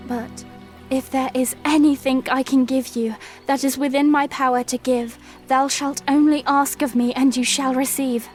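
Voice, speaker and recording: A woman's voice: sits high, fairly even intonation, moderate pace, soft background music, lightly acted. A woman playing an earnest, dignified young princess with formal, courtly speech in a fantasy audio drama. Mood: sorrowful, humble.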